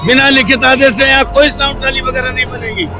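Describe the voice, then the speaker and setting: A man's voice: extremely high pitch, little pitch movement, fast, over music. A man giving a spoken account of a grievance to reporters. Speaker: protester giving a statement to reporters.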